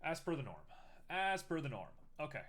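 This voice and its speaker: Young man, live streamer, casual chat, self-mocking, very lively pitch. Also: a little fast. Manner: chatty, self-mocking, thinks aloud while working.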